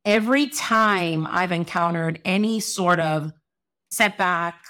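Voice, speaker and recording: Woman guest, middle-aged, from Sierra Leone; low-ish voice, measured and earnest delivery. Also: a bit bright. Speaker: guest, lawyer and entrepreneur. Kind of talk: podcast interview.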